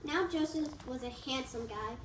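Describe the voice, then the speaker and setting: A woman's voice: high voice, normal speed. A woman narrating a story in amateur reader's theatre. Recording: roomy, distant, noisy.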